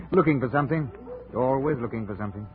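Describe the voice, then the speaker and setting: An actor playing a genteel, homesick British colonial secretary in a 1950s radio drama, fussy and effusive. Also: male voice, medium pitch, a little fast, natural voice. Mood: fussy, cautioning. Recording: narrow, muffled 1950 radio recording.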